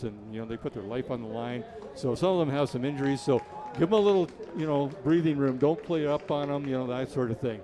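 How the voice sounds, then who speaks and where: A man calling a baseball game, chatty and jokey, trading dad jokes with his booth partner. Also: mid-range voice. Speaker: play-by-play announcer. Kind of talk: baseball commentary.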